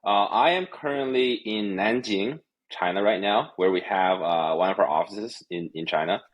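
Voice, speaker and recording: A man with a very low, husky voice talks as a podcast guest in a relaxed, conversational way. He often pauses with fillers and restarts his phrases while working out what to say. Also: lo-fi sound. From Canada (Calgary). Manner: hesitant, many fillers and restarts. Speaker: guest, company executive. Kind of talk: casual interview conversation. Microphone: remote recording.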